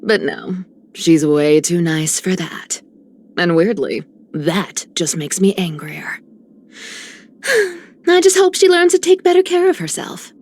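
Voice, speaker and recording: A woman's voice: extremely high pitch, sing-song, normal speed, a little mellow, full character voice. A woman playing a mischievous young woman in a video game, with a playful, taunting manner. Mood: playful teasing. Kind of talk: scripted character voicelines.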